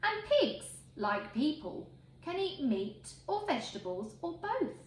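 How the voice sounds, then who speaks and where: A woman reading a picture book aloud to children in an expressive, emphatic way. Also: sits high, a little slow. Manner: expressive, emphatic phrasing for young listeners. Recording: roomy echo, faint background noise.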